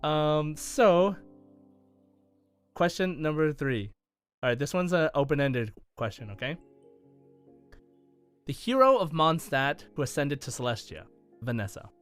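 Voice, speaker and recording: A man's voice: a little high, big pitch swings, unhurried, long pauses, smooth, faint music behind. A man co-hosting a podcast, reading out quiz questions and chatting casually between them. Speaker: podcast co-host running a quiz. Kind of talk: casual quiz-show banter with read-out questions.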